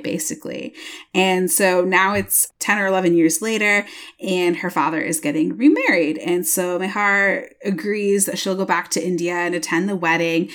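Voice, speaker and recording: A relaxed woman in her thirties chats through a book's plot on a podcast. Her voice sits a little low, with plenty of vocal fry. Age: 30s. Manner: relaxed, chatty, filler-heavy. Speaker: podcast co-host. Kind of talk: casual book chat.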